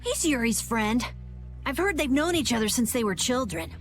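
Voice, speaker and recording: Woman as a composed, highish-voiced young adventurer. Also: lively intonation, normal speed, close to an everyday voice. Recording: music under the voice.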